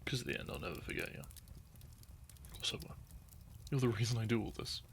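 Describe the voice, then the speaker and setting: A fairly low, gravelly young man in a loose, wandering chat to his listeners, his voice rising and falling a lot. He drifts off track, chuckles at himself, and sips wine between thoughts, with a faint hiss behind him. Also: very animated, moderate pace. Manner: meandering, chatty, laughs often, loses his train of thought; sips wine while talking. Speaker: audio content creator. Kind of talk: unscripted casual ramble to listeners.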